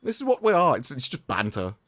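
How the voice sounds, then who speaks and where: A man's voice: medium pitch, wildly swooping pitch, fast talker, fairly bright tone, no echo, studio quality. A man with a British accent in casual livestream chat, teasing and explaining things to his co-hosts. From United Kingdom. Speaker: livestream co-host.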